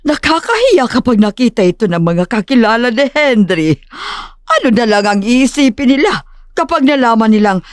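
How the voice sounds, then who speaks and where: A woman's voice: on the high side, no echo, studio quality. A woman playing a rich, middle-aged, condescending mother in an audio drama, theatrical and snobbish, sprinkling English phrases into her speech.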